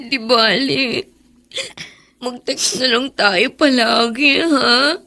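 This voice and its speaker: Bright, very high young Filipina friend. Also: female voice, takes it slowly, creaky, exaggerated voice. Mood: tearful, affectionate. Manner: sympathetic, sentimental. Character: tender, loyal young female best friend. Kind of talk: Filipino radio drama acting.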